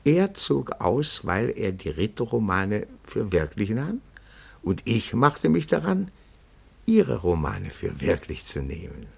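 An actor playing a timid yet nosy, pedantic petit-bourgeois detective in a radio play, speaking in a meticulous, florid, deferential way. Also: male voice, lowish voice, huge pitch swings, takes it slowly, gravelly, husky, heavily acted. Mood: solemn, proud. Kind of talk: German radio play dialogue.

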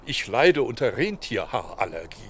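A man's voice: medium pitch, very animated, normal speed, a bit bright, breathy edge, full character voice. A man playing a grumpy, stingy Saint Nicholas who protests theatrically in a children's audio play. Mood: indignant.

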